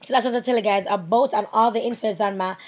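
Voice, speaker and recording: A hoarse young woman with a Jamaican accent, rambling casually with many fillers in a makeup tutorial voiceover. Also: mid-range voice, fairly even intonation, fast talker. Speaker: makeup artist.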